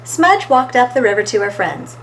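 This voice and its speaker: A woman reading a children's story aloud as an expressive storytime narrator, recorded with faint background noise. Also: a little high, normal speed. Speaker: storytime reader.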